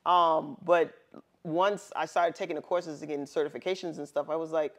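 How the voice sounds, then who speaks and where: A woman's voice: deep voice, fairly even intonation, normal speed. A woman guest in a casual interview, upbeat and earnest, speaking with emphasis.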